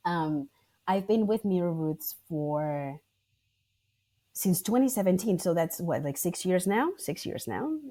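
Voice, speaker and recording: Low-voiced woman from Spain. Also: expressive, measured pace, warm tone, dry recording. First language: Spanish. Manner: chatty, many fillers, self-correcting. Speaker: guest, arts managing director. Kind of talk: casual interview answers.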